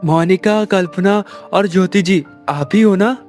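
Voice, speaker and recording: A man's voice: high voice, a little slow, darkish tone, faint music behind. An actor playing a polite, persuasive young businessman in a Hindi family cartoon.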